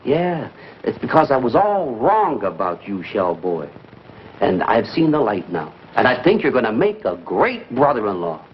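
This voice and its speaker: Whiny sarcastic brother in law type, swoops around a lot, old muffled 50s broadcast. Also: male voice, mid-range voice, wildly swooping pitch, normal speed. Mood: gushing, oily. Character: needling, sponging, sarcastic adult brother-in-law-to-be. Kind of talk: 1950s broadcast comedy acting. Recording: muffled, noisy 1950s broadcast recording.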